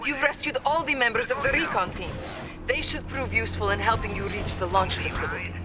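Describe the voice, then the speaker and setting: An actress playing a poised, dutiful Soviet intelligence officer, giving formal video game briefings. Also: female voice, a little high, brisk, natural voice. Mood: pleased. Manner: earnest, precise, formal. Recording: loud game music behind.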